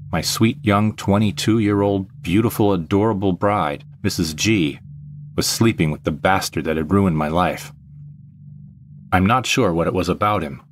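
Man acting a deep-voiced brooding, vengeful narrator. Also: slight background noise, natural voice. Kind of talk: first-person audio drama narration.

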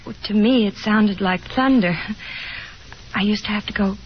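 A woman's voice: medium pitch, natural voice. An actress playing a shy, self-conscious and lonely young woman in a 1950s radio drama, speaking hesitantly. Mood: shy, wistful. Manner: hesitant, halting, timid. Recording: muffled 1950s radio broadcast, hissy noise.

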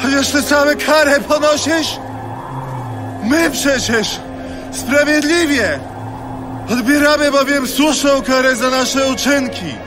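A condemned thief on a cross, very high strained voice, slow, pleading, loud music behind. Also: male voice. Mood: pained rebuke. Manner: strained, reproachful and imploring. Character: penitent crucified thief, pained, earnest, pleading. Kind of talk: audio Bible radio drama acting.

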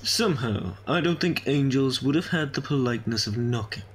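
A man playing an ancient, quick-witted time traveller in an amateur audio drama. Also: medium pitch, lively intonation, slight background noise, close to an everyday voice. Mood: brisk, wry.

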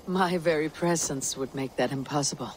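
A man acting a stoic Viking warrior leader in a game cutscene. The voice sits fairly high for a man, solemn and measured, with ambient noise behind it. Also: lightly acted, poor audio. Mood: calm, grave.

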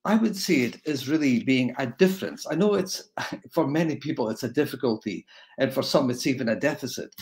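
An older man from Scotland with a Scottish accent, giving a relaxed, rambling webinar lecture over a video call from his home. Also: mid-range voice, fairly quick. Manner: relaxed, rambling with fillers and restarts. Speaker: guest speaker.

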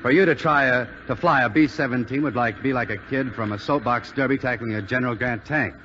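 Casting: man as steady young American pilot officer, good-natured, wartime radio play, medium pitch, light hiss. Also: fairly quick, close to an everyday voice. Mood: teasing. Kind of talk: 1940s radio drama acting. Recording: muffled, hissy 1940s radio recording, hall reverb.

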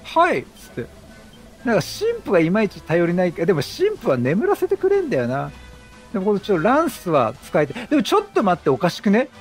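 A man doing chatty game commentary, animated, talking to himself and to the characters on screen. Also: high-pitched, brisk, faint music behind. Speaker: gameplay commentator.

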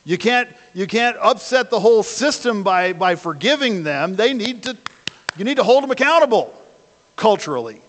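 Older pastor preaching in a reverberant church. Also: male voice, sits high, lively intonation, moderate pace, fairly bright tone. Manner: conversational preaching with rhetorical questions. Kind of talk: sermon. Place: church sanctuary, speaking from a pulpit. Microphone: far camera at the back of the church. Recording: long church hall reverb.

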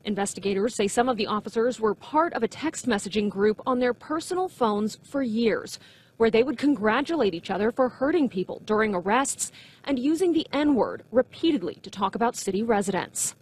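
A woman reads a scripted news report as a reporter. Her voice is fairly high, and a little noise sits underneath the voice-over. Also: animated, brisk.

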